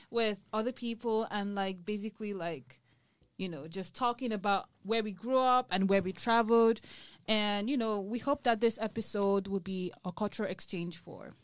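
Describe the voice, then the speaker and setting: A young woman from Nigeria hosting a casual student podcast, lively and quick to laugh, with frequent filler words. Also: mid-range voice, no room sound. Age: young adult. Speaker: podcast host. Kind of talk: casual group chat.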